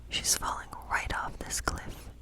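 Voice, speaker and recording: A woman playing a gentle witch in an ASMR tarot role play, speaking in a soft, breathy, unhurried whisper. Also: lightly acted. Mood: gentle, playful. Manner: gentle, unhurried, softly musing. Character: friendly cottage witch woman reading tarot by night.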